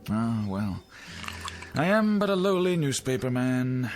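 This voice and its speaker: A man's voice: medium pitch, sing-song, measured pace, faint music behind. An actor playing a wry, troubled newspaperman with an arch, mock-formal, hesitant manner, in mid-century television drama style. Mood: wry, tipsy.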